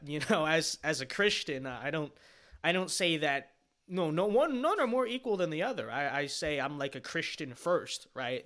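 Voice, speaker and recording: A man's voice: on the high side, sharp tone. A man streaming a reaction to his own panel debate, rambling and opinionated, with lots of fillers and restarts. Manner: emphatic and dismissive. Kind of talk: livestream reaction commentary.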